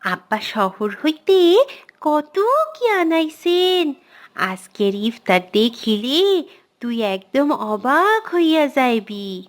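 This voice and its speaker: A woman's voice: sits high, very animated, extremely slow, heavily acted. A woman voicing a proud, boastful young village girl in a cartoon, with speech. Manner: bragging, rustic colloquial Bengali.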